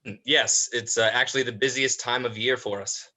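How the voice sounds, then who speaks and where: A man's voice: mid-range voice, steady tone, moderate pace, sharp tone, low-quality recording. A man playing a polite, apologetic elder brother in a dramatized script reading, hesitant and restrained. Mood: modest.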